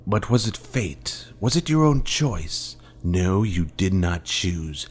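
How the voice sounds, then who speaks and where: A man playing a grave, manipulative fallen Jedi master in a video game, speaking in a solemn, deliberate, grandiose way. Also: low voice, sing-song, slightly rough, exaggerated voice. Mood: accusing, probing. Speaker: game character voice actor. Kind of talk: dramatic scripted game dialogue.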